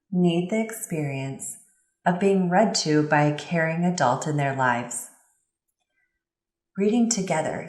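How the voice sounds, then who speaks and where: A woman giving an instructional voice-over interpretation, phrasing her explanations in a measured, clear way. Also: on the low side. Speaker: voice-over interpreter for a signing presenter. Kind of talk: instructional voice-over translating signed presentation. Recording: roomy, reverberant sound.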